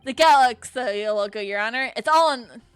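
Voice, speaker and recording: A woman's voice: a little high, moderate pace, clean tone, no echo, faint music behind, lightly acted. A woman reading as an earnest defense lawyer. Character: earnest young male defense attorney in a courtroom game. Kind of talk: reading game dialogue aloud.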